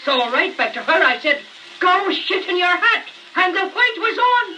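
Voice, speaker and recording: A man's voice: very high-pitched, very animated, normal speed, bright, forceful tone, soft background music, voice pushed up, exaggerated voice. A man voicing a doting, feisty Irish housewife with a gossipy, effusive manner on an old comedy record. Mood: indignant gossip. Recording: noisy crackling 78 rpm record, distant.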